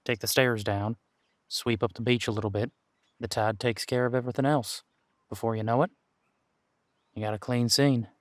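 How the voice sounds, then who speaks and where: A man playing a seasoned, wry detective who patiently mentors a rookie in an audio roleplay drama, musing aloud in unhurried, folksy phrasing. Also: medium pitch, fairly even intonation, no echo, close to an everyday voice. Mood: intrigued. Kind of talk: roleplay audio drama, one-sided conversation.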